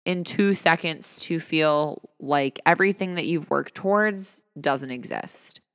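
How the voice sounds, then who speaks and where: A man speaking earnestly and conversationally in a personal reflective talk, his voice fairly high for a man, often asking rhetorical questions. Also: measured pace, fairly bright tone, smooth, no echo, clean studio sound, in a large hall. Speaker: vlogger.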